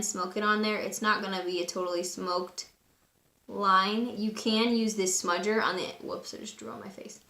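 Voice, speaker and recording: A woman with a raspy voice today, casually talking through a makeup tutorial at home. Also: mid-range voice, roomy sound. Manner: chatty, relaxed and instructive, with frequent fillers. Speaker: beauty vlogger. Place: room at home.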